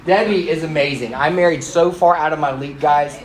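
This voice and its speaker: A man in his fifties, raised in Thailand, leads a church class with a fairly high voice and plenty of teasing humor. He is recorded in an echoey room, with faint background noise behind him. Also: fairly quick. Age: 50s. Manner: animated and joking, teasing the class.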